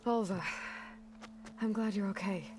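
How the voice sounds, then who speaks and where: A woman's voice: mid-range voice, fairly even intonation, unhurried, mellow, a little hiss or hum, lightly acted. An actress playing a guarded, plain-spoken young warrior woman in a video game, with a dry, blunt, understated manner. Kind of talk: naturalistic video game acting. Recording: game music behind the voice.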